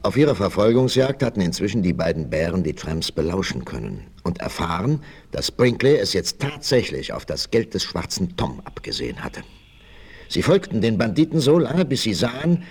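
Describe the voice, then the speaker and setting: Male narrator for vintage adventure radio play: lively, widely moving pitch, suspenseful scripted delivery. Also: normal speed, husky. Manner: suspenseful storytelling. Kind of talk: dramatic scripted audio drama narration, 1960s.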